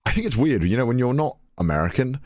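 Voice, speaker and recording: A man's voice: medium pitch, fast, dry recording, studio quality. A British man in his twenties chatting casually on a podcast, rambling and often restarting his sentences. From United Kingdom. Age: 20s. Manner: rambling, self-correcting, animated. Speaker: podcast guest.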